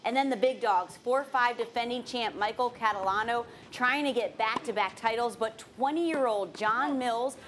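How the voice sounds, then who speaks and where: A woman's voice: on the high side, normal speed, hardly any pauses. A woman working as a sports anchor, reading scores and match recaps in a broadcast news style. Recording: faint background noise.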